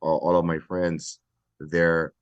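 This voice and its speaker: A middle-aged man from Hawaii, a retired fire battalion chief, speaking earnestly and reflectively with many pauses in a podcast interview. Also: low voice, flat delivery, no echo.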